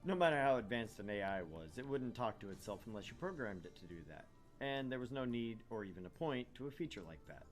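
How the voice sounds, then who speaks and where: A man's voice: mid-range voice, big pitch swings, quick pace, soft background music. A man reading a visual novel aloud as narrator, dropping in wry, joking casual banter. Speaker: let's play host narrating a visual novel.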